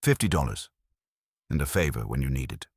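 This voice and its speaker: A man voicing a calm, calculating 1930s crime boss, terse and menacing, in a narrated story. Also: a bass voice, brisk, slightly rough, dry recording, clean studio sound, heavily acted. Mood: terse, controlled.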